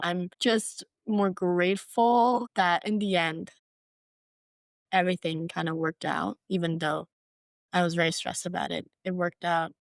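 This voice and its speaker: Podcast guest, twenties, from Hong Kong, earnest and reflective, low register, dry booth sound. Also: female voice, no echo. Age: 20s. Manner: reflective, earnest, uses "like" and "you know" often. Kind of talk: podcast interview.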